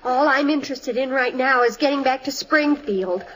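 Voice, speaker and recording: A woman playing a fretful, practical American wife and mother in an old radio sitcom, brisk and exasperated. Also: high-pitched, a bit bright. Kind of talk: 1950s radio sitcom acting. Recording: noisy old radio recording.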